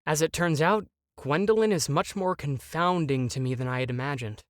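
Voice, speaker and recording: A man's voice: a little high, moderate pace, dry recording, studio quality, natural voice. A man playing an anxious, bookish young prince in a dramatic fandub, with a hesitant, overwrought delivery. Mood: pensive. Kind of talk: dramatic fandub acting with inner-monologue narration.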